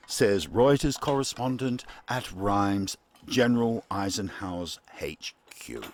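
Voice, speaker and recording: A man playing a grave, formal 1940s radio newsreader in a radio play, with deliberate, halting phrasing. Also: lowish voice, a little hiss or hum.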